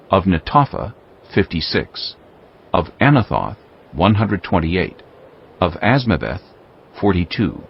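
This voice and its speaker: A man narrating scripture from a script in a measured, formal manner, as part of a dramatized audio reading. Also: deep voice, vocal fry, muffled recording. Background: music.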